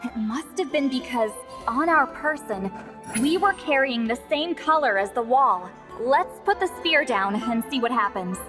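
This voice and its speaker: A knowing young guide in a fantasy video game. The voice sits a little high and sounds dry, cool and matter-of-fact, with faint music behind it. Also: female voice, lively intonation, a little slow. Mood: analytical.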